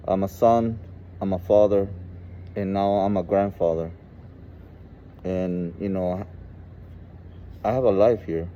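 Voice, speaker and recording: A man in his forties from El Salvador with a Salvadoran Spanish accent, telling his personal story informally and haltingly, often saying "you know". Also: low-pitched. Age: 40s. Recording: muffled, with clear background noise.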